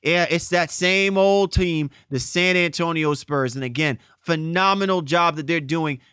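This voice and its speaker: A man's voice: a little high, measured pace, fairly bright tone, clean tone, no room sound, studio quality. A man hosting a sports podcast in an effusive, informal, conversational way. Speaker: podcast host.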